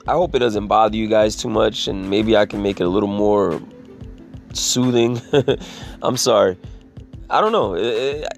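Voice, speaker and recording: A man with a raspy voice talking in a casual, rambling monologue, swearing freely and leaning on "you know" as he restarts his sentences. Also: lowish voice. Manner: profane, filler-heavy, restarts sentences.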